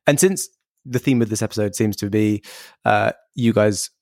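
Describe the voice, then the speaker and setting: Man, podcast host, casual interview, chatty, laughs easily, deeper voice. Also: a little slow, a little mellow, dry recording, clean studio sound.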